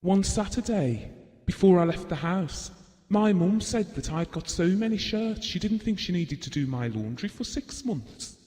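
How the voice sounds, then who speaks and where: An actor playing a shy, devoted husband in an audio drama, speaking earnestly and nicely. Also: male voice, high-pitched, animated, moderate pace, mellow, long reverb tail. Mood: fond, wistful. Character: shy, devoted, well-spoken husband reminiscing about his marriage.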